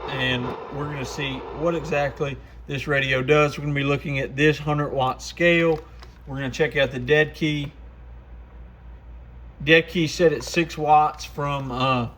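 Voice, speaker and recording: A man's voice: mid-range voice, fairly bright tone. A man chatting in an informal, folksy way as he gives a casual product demonstration like a shop presenter.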